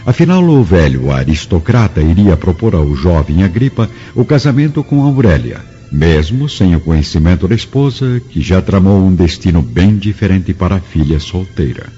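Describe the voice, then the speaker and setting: Low-pitched solemn male drama narrator. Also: sing-song, normal speed, hardly any pauses, warm tone, breathy edge. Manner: solemn, literary. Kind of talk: scripted radio drama narration. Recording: muffled studio recording with music. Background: music.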